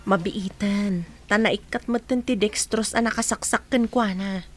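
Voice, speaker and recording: A woman's voice: medium pitch, fairly even intonation, unhurried, faint music behind, natural voice. A woman playing a frank, persuasive young working woman in an Ilocano radio drama, with an Ilocano accent.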